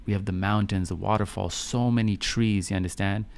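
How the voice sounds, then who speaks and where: A man in his thirties with a low voice and a gravelly, creaky edge. He talks casually as a live stream host, affirming his points by repeating them and checking that people follow. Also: steady tone, fairly quick, darkish tone. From Canada, grew up in Vancouver. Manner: affirming, repeats phrases, punctuates with "you understand?". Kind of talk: casual live stream chat answering viewer messages.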